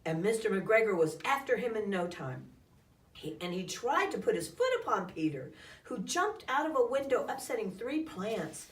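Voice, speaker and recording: A woman reading a children's story aloud in an expressive, dramatic storytelling style. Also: medium pitch, normal speed. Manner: dramatic, acts out calls and sound effects. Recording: echoey room, distant microphone.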